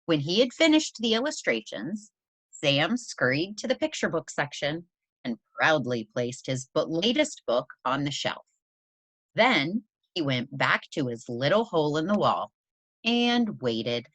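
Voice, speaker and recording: A woman with a low voice reads a picture book to children. Her delivery is unhurried and highly animated in pitch, with deliberate stress on key words. The sound is close and dry. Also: no echo, poor audio. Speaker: presenter reading a children's picture book aloud. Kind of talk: expressive read-aloud storytelling for children.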